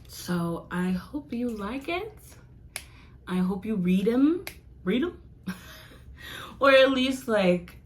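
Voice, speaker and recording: A young woman chatting casually to her viewers in a rambling review, laughing often and filling pauses with "like" and "um". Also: medium pitch, a little hiss or hum. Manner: chatty, giggly, rambling with many fillers. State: losing her voice. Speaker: vlogger reviewing comics.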